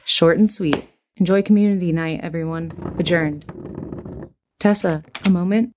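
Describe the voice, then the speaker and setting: A woman's voice: on the low side, fairly even intonation, normal speed, background noise, close to an everyday voice. An actress playing a composed, fair-minded female leader of a survivor settlement, orderly and decisive, in an audio drama.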